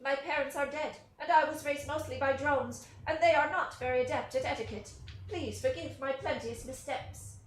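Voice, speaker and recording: A woman's voice: sits high, normal speed, natural voice. A woman playing a precocious, formal orphan boy in a theatrical play reading, with a pompous, grandiose, bookish delivery. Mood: haughty, formal. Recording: roomy sound with faint noise.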